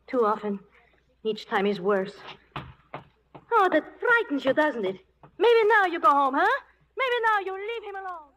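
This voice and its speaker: An actress playing a jealous, quick-tempered saloon singer in a 1940s radio western. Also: female voice, sits high, very animated, a bit bright, exaggerated voice. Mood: hostile, taunting. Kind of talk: 1940s radio drama acting. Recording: noisy old radio broadcast with clicks.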